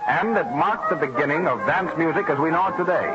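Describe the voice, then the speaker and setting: A man's voice: on the high side, brisk. An American man giving scripted old-time radio narration in a dramatic, declamatory delivery. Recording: dull vintage radio recording with music behind. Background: music.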